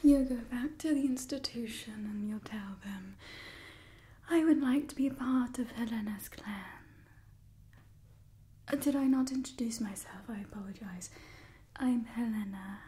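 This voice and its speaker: A young woman performing a whispered ASMR roleplay as an imperious, doting old vampire clan overseer, breathy and theatrical. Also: a little high, a little slow, pauses often, warm tone, close to an everyday voice. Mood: imperious, pleased. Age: young adult.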